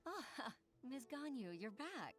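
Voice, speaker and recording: An actress voicing an earnest young female clerk in a video game, soft-spoken and given to sighs. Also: high-pitched, sing-song, slow pace, heavily acted. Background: faint game music.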